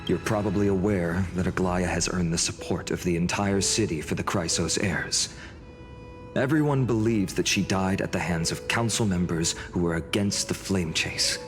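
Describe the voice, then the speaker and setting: Young male hero, low voice, earnest, courteous, composed, game dialogue, faint music. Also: fairly bright tone. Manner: polite, composed, earnest delivery. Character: earnest, courteous young heroic leader called Deliverer. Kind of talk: scripted game drama acting.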